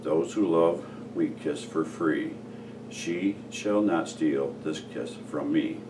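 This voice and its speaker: A man's voice: very deep voice, slow. A man on a school staff reading rhyming verse aloud from a storybook. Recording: distant mic, clear room noise.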